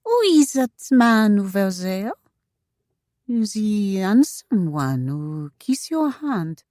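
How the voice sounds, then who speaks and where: Woman playing a gushing foreign society lady, swooping pitch. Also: sing-song, extremely slow, dark tone, no room sound, studio quality. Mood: curious, coy. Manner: gushing, exclamatory. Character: flirtatious, playful married foreign society lady.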